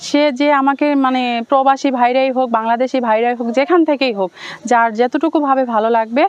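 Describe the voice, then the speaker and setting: A young Bangladeshi woman speaks in a dull, lo-fi recording. Her voice sits a little high and she talks fast. She gives a personal account in a pleading, repetitive way, as an interview guest. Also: clean tone, no room sound. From Thakurgaon, Bangladesh. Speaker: interview guest telling her life story. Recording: dull lo-fi sound.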